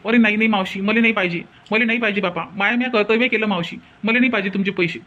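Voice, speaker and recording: Man playing a very fast-talking honest young villager. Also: high-pitched, pushed and bright, lo-fi sound. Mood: modest, polite. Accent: Vidarbha Marathi. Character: honest, humble young working man returning lost gold. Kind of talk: comedy cartoon dialogue.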